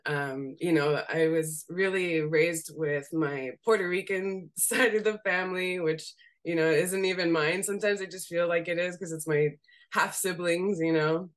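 A young woman from Seattle with a slightly raspy voice, chatting casually as a guest on a video call and laughing often as she talks. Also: lowish voice. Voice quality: raspy voice today. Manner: laughs often while talking, relaxed and candid. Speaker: guest, graphic designer. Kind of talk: casual interview chat. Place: home room.

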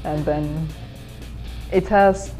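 A teenage exchange student from Finland, a girl who speaks English as a second language, gives slow, halting answers full of fillers and small laughs. Her voice sits low for her age, and a faint guitar plays quietly underneath as she talks in a school hallway. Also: steady tone, rough recording. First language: Finnish. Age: teens. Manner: hesitant, many fillers, soft laughs. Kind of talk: casual interview.

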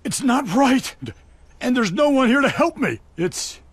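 A man's voice: extremely high pitch, expressive, unhurried, slightly rough, no room sound, heavily acted. A man voicing a scheming, vain middle-aged businessman in a cartoon, theatrical, ranting and self-pitying. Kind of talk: exaggerated cartoon acting.

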